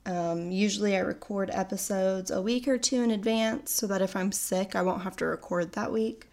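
A woman's voice: mid-range voice, moderate pace, vocal fry, a little mellow. A young woman from North America hosting a casual solo podcast, chatty and upbeat, sounding a little sickly from a cold. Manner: chatty and upbeat, explaining facts. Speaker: podcast host.

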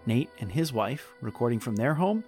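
A man with a gravelly voice delivering a scripted outro as the creator of an audio drama. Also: medium pitch, expressive, normal speed, darkish tone. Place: recorded at home. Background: music.

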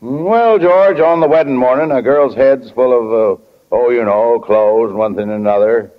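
A man's voice: medium pitch, normal speed, natural voice. An actor playing a kindly, flustered middle-aged small-town father in 1940s radio drama, hesitant and folksy, often fumbling for words. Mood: awkward, hesitant. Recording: dull, lo-fi 1940 radio recording.

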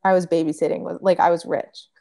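A woman in her late twenties, a podcast guest chatting casually and telling stories with animated emphasis. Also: on the low side, a little fast, creaky. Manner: animated, laughing storyteller. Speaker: guest food blogger. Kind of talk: casual chat.

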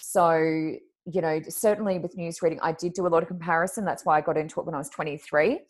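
Deep-voiced woman chatting. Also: fast talker. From Australia. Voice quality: naturally deep voice. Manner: relaxed, hesitant with fillers. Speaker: podcast co-host and card reader. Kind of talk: casual conversational chat.

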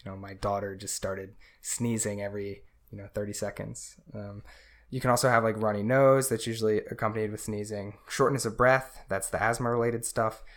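Man, lowish voice, casual podcast co-host, explanatory, lots of ums. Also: little pitch movement, fairly quick, a bit bright. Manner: explanatory, many fillers. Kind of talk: casual chat.

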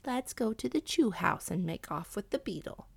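Storybook cat: clever, scheming male, confident and persuasive, gravelly, dry close sound; a woman reader putting him on. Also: lively intonation, moderate pace, dry recording, full character voice. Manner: confident, explanatory, slightly raised pitch.

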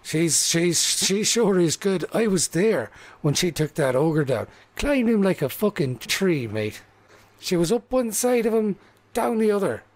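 A man's voice: high voice, a little slow, voice pushed up, heavily acted. A man voicing an eager, gossipy young male halfling in a tabletop game. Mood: excited. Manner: excited, chatty.

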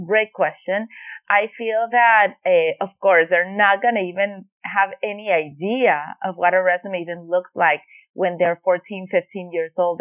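A woman's voice: mid-range voice, dry recording. Woman consultant from Venezuela, podcast guest; thoughtful, restarts often; muffled, dead-dry sound. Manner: thoughtful, explanatory, with frequent fillers and restarts. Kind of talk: podcast interview answers.

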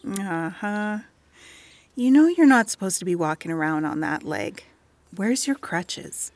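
A woman's voice: medium pitch, expressive, unhurried, a little mellow, dry recording. A woman playing a wry, blunt clinic worker who teases her old friends, in a naturalistic audio drama with dry, casual, clipped phrasing. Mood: teasing, bossy.

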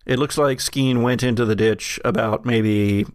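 A game master narrating a tabletop roleplaying game, explaining the scene in a halting, careful way. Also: mid-range voice, fairly even intonation, no room sound, studio quality.

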